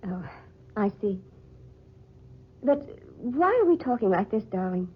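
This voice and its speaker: Guilt-ridden woman, husky, hesitant, old radio melodrama, muffled lo-fi sound. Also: mid-range voice, very animated, unhurried. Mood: uneasy. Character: uneasy, guilt-ridden woman, lover of a killer. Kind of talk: old-time radio drama acting. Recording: dull lo-fi old radio recording.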